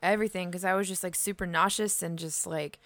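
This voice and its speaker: A woman's voice: fairly low pitch, steady tone, quick pace, a bit bright, no echo. A woman co-hosting a casual movie podcast, laughing often and swearing freely. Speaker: podcast co-host. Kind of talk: casual chat and movie review.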